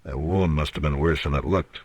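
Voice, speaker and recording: Real deep voiced western marshal, grave n steady, terse, old radio drama. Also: male voice, moderate pace. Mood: concerned. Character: steady, grave, plainspoken Old West lawman.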